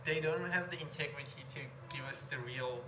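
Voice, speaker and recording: A man's voice: on the high side, brisk. A young man from Iran with a Persian accent, a native Persian speaker and student, chatting casually and hesitantly with many likes and restarts. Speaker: engineering student. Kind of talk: casual chat with tutor. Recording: echoey room with clear noise.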